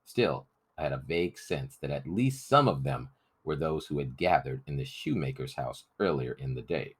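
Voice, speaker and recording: A man's voice: extremely low pitch, lively intonation, normal speed, breathy edge. A man narrating a story as a scripted audiobook reading.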